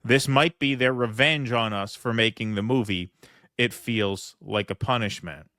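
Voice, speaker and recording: A man's voice: on the low side, a little slow, sharp tone, no echo, studio quality. A man hosting a political commentary show, reading news reports aloud and commenting with frequent fillers.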